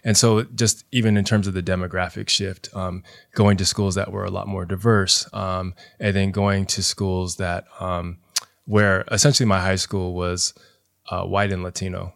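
Low gravelly-voiced man, soft and reflective. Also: flat delivery, normal speed, no room sound, clean studio sound. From Los Angeles area, US. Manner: soft-spoken, many fillers like "you know". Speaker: guest, special ed teacher. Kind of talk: reflective interview talk.

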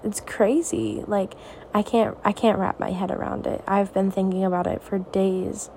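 A teenage girl hosting her own podcast, talking softly and reassuringly in a raspy, tired voice late at night. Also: medium pitch, fairly even intonation, normal speed, a little hiss or hum. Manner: soft, reassuring, hesitant. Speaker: podcast host. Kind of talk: personal podcast monologue.

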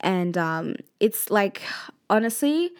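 Woman, 20, student vlogger; casual, chatty, slangy, laughs often, mid-pitched voice. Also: sing-song, takes it slowly. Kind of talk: casual personal vlog talk.

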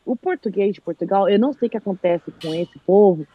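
A woman's voice: medium pitch, a little fast, slightly rough, phone-quality sound. A woman from Brazil chatting live, animatedly telling a story and acting out what people said. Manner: animated storyteller, acts out quoted speech. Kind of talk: casual live chat.

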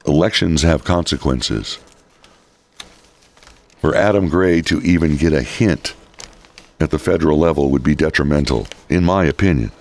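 Raspy, very deep-voiced radio host man. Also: normal speed, long pauses. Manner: opinionated, reads quotes then rebuts them. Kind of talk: political talk radio commentary. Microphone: studio microphone.

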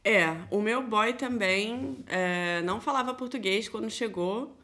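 A young woman from Rio de Janeiro speaks with a Carioca accent. Her voice is medium in pitch, and she talks informally as one of a podcast's hosts, in the manner of a chat between friends. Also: measured pace, fairly bright tone. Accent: Carioca Brazilian. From Brazil, Rio de Janeiro. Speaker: podcast co-host. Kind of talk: casual chat.

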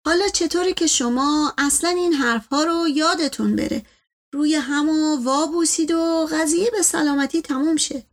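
Woman voicing a wily folksy male servant, pitched up. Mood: cautious, conciliatory. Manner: colloquial, deferential, drawn-out hedging.

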